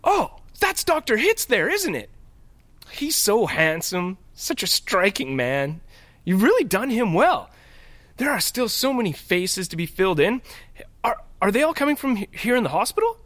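Man acting a cheeky, high-pitched, swooping hospital worker. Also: big pitch swings, moderate pace, fairly bright tone, no echo. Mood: admiring, chatty. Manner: folksy, breezy, teasing. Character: chatty, cheeky male hospital worker, younger than painter. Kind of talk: audio drama acting.

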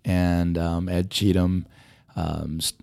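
Middle-aged male guest, low dark voice, hoarse and fading, talks in loose rambling answers. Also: level tone, slow pace, dry recording, studio quality. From Hawaii. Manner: rambling, many fillers and restarts. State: losing his voice. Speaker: motion design educator. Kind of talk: conversational interview answers.

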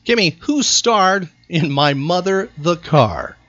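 A man's voice: sits high, sing-song, slow, over loud music. Upbeat male radio host, muffled sound. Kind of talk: casual trivia show chat. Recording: muffled lo-fi audio.

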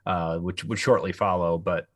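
Low-pitched man in casual podcast chat. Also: steady tone, moderate pace, no echo, clean studio sound. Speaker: podcast co-host.

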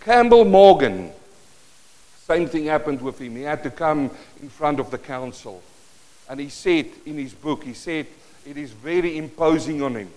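A man from South Africa with an Afrikaans accent, preaching a sermon to a congregation and telling a personal story with animated bursts. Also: a little high, moderate pace, rough recording. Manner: storytelling, animated bursts, moves around the stage. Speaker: pastor. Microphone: worn or handheld mic that cuts in and out while he moves.

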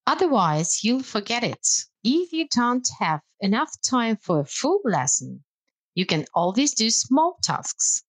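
This is a woman whose first language is Russian. She co-hosts a podcast as a language lecturer and talks slowly, with a lively rise and fall in her pitch. Her voice is recorded close and dry, and she explains her tips in a measured way with a few hesitant fillers. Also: no room sound. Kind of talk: podcast conversation about language learning.

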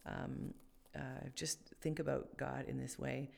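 A woman's voice: extremely low pitch, flat delivery, a little slow, creaky, a little mellow. A woman co-hosting a faith podcast, talking casually and thoughtfully, with many fillers and restarts. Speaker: podcast co-host.

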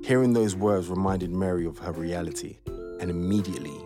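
A man's voice: deep voice, a little fast, over music, lightly acted. A man reading scripted narration as the storyteller of an audio play. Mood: measured.

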